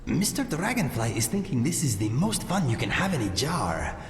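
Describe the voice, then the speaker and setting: A man's voice: mid-range voice, sing-song, moderate pace, faint music behind, close to an everyday voice. Guy voicing a quirky cat-man sidekick in a fantasy game, swoopy delivery, big reverb. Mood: quizzical, wry. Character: quirky, loyal male cat-man adventurer companion. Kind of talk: video game voice acting. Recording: echoey game audio, long reverb, faint noise.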